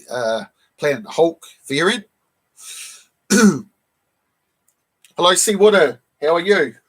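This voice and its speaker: A man with a slightly high, croaky voice worn rough by a cold hosts a relaxed live stream. He chats loosely with his viewers, greets them by name and stops often to clear his throat. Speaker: live stream host showing comic books. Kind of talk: casual live stream chat.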